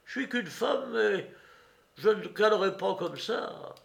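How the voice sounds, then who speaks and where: Man putting on a high-pitched, brazen, taunting woman. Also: lively intonation, slow, creaky, a bit bright, full character voice. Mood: mocking, defiant. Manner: taunting, slangy, exclamatory. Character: brazen mocking young fairground woman, streetwise and slangy.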